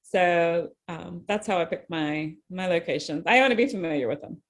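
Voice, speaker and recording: An American woman speaks casually as an interview guest in a slightly low voice. She is a little hesitant, pausing as she puts her thoughts together. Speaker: guest novelist.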